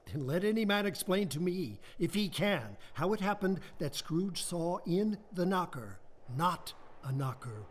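Man as slow, theatrical Victorian storyteller. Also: high-pitched, very animated, full character voice. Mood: atmospheric. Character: storyteller of a Victorian ghost tale, vivid and deliberate. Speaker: narrator. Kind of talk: scripted radio play narration.

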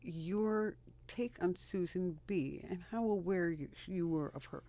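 A man from Rochester hosting a radio interview, asking curious, halting questions. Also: high voice, mellow. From Rochester, New York. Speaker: radio host. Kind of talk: interviewing guests.

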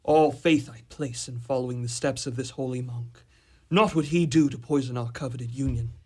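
A man's voice: medium pitch, normal speed, mellow, husky, natural voice. A man playing a lovesick young suitor in an amateur radio drama, declaiming ornate archaic verse earnestly. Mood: earnest devotion.

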